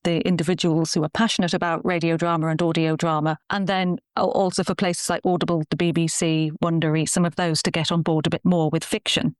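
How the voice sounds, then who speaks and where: A woman's voice: on the low side, no echo, studio quality. A woman talking earnestly and giving her opinions as an interview guest who produces audio drama. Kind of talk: conversational interview.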